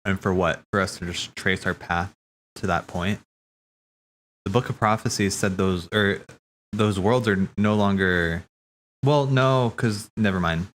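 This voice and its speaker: Man, deep voice, casual streamer commentary, rambling, self-correcting, offhand swearing, faint noise behind him. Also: measured pace, fairly bright tone.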